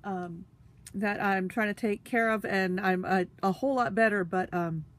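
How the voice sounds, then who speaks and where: A woman's voice: medium pitch, normal speed. A woman with a stuffy, sniffly nose, chatting casually with lots of fillers while she demonstrates a craft tool. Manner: talks while handling tools. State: congested, sniffly.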